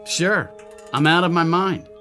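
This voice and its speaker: A man's voice: a little high, unhurried, pushed and bright, soft background music. An actor in a radio drama playing a bewildered, agitated young man who doubts his own sanity. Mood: baffled, frustrated.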